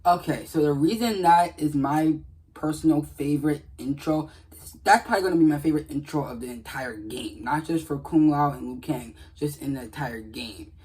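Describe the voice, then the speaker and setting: A man's voice: fairly high pitch, normal speed, a little mellow, slightly rough, poor audio. A man giving casual, earnest commentary on game dialogue in a rambling, repetitive way. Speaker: gaming video creator.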